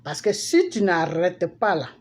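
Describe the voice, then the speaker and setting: A woman's voice: lowish voice, big pitch swings, measured pace. Middle aged ivorian lady doing a fiery political rant, scolds and repeats herself, bit of background noise. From Ivory Coast. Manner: forceful, scolding, repeats phrases for emphasis. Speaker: political commentator. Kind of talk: impassioned political monologue addressed to public figures. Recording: noisy amateur recording with background ambience.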